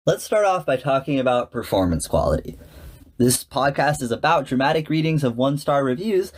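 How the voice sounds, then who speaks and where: Man, mid-pitched voice, podcast host, scripted commentary, articulate, enthusiastic, theatrical phrasing. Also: big pitch swings, fairly quick, breathy edge.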